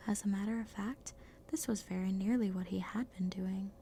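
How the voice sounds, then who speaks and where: Whispering woman storyteller, mellow, gravelly texture, soft and close. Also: mid-range voice, fairly even intonation, moderate pace, a little hiss or hum. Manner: soft and hushed.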